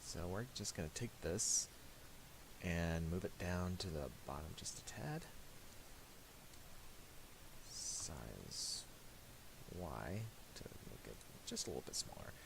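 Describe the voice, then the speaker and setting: A man giving a casual, unscripted software tutorial, halting as he thinks aloud while he works. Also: sits low, very animated, gravelly. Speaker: tutorial host. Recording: hissy home recording with mouse clicks.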